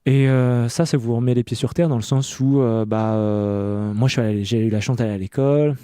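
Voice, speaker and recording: A man in his early thirties from France talks as an interview guest. His voice is very warm and relaxed, at an average pitch, and recorded dry. He tells his story conversationally, hesitating and restarting as he searches for words. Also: clean tone, no echo. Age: early 30s. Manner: hesitant, halting delivery with many self-repetitions. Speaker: interview guest, clothing maker.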